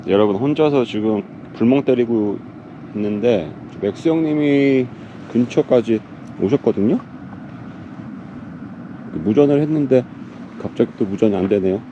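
A man with a medium-pitched voice narrates his camping trip in a casual, chatty way. He addresses viewers politely. The campsite recording carries clear background noise. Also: a little slow, pauses often, muffled recording. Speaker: camping vlogger. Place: winter campsite, outdoors and in a tent. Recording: clear outdoor ambient noise.

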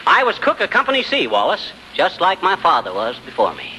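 An actor playing a boastful, folksy husband and windbag in 1940s radio comedy, with a blustering manner. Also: male voice, sits high, huge pitch swings, brisk. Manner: boastful, rattling off tongue-twisting alliteration. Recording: noisy old radio broadcast in a hall.

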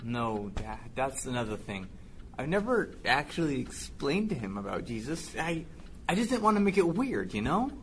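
Man playing hesitant son with lively pitch. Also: moderate pace, slight background noise. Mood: hesitant, worried. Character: hesitant, worried schoolboy son confiding in his dad. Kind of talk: audio drama acting.

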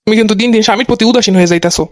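A woman's voice: medium pitch, rapid-fire, dry recording, studio quality, voice pushed down, lightly acted. A woman voicing a dismissive, irritable young husband in an amateur cartoon, curt and nagging, with a Bangladeshi Bengali accent. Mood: suspicious, annoyed.

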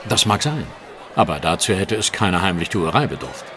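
An actor playing an astute, self-assured gentleman detective in an audio drama, precise and dryly teasing. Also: low-pitched, sing-song, normal speed, some chatter behind, heavily acted. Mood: dry, knowing. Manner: precise, explanatory, dry. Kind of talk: German audio drama dialogue.

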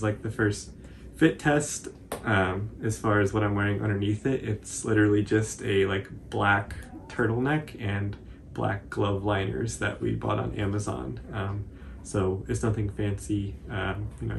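A man, casually explaining a craft project in loose, halting phrases. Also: on the low side, creaky, a little hiss or hum. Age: 20s. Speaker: cosplay tutorial co-host. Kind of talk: casual unscripted tutorial commentary. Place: indoor living room, seated on couch.

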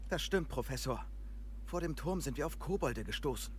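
A man's voice: on the high side, animated, normal speed, slight background noise, natural voice. An actor playing a polite, earnest young male wizard student in a video game. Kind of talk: scripted video game dialogue.

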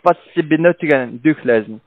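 A man with a foreign accent in German, a call-centre agent arguing on the phone in a halting, insistent way. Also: fairly high pitch, no echo, phone-quality sound. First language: not German. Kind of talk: unscripted phone sales argument. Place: on a telephone line.

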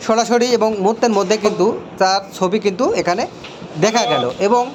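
A man's voice: high voice, fairly quick, background noise. A man with a Bangladeshi accent giving a hands-on tutorial as an electrician, explaining step by step and repeating himself as he points things out.

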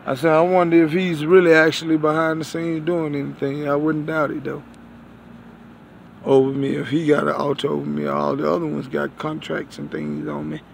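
A man in his thirties giving a rambling religious talk to viewers, halting and repeating his phrases, recorded on a phone outdoors. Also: a little high, little pitch movement, creaky, a little hiss or hum. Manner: repetitive, halting, circling back over phrases. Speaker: online preacher, self-styled prophet. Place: outdoors beside a building. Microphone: phone at arm's length.

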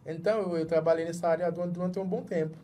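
A man's voice: on the high side, steady tone, fast, mellow, slight background noise. A man in his thirties from Jamaica with a Jamaican accent, a journalist guest in a small padded studio, often restarting words as he searches for them in Portuguese. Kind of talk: casual interview talk.

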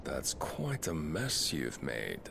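An actor playing a cold, haughty, menacing villain with controlled delivery in a video game cutscene. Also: male voice, rumbling low, huge pitch swings, takes it slowly, vocal fry, background noise, heavily acted. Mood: cold menace.

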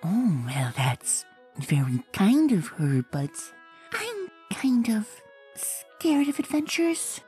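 Woman doing shy young mare from a cartoon comic, breathy and hushed, high and lively, faint music behind. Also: slow pace. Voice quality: soft, breathy, whispery. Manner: hesitant, shy, nervous. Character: timid gentle young female pony. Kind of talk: comic dub reading.

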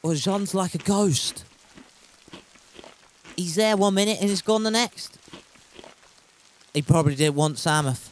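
A man's voice: sits high, unhurried, pauses often, slight background noise. A man giving casual gameplay commentary with wry, self-mocking banter. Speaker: gaming YouTuber.

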